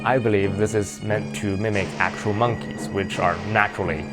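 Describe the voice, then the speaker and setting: A man's voice: lowish voice, steady tone, moderate pace. A man who is a native Mandarin speaker with a Chinese accent, giving modest, informal explanatory commentary for a game video. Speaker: video creator, narrator. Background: music.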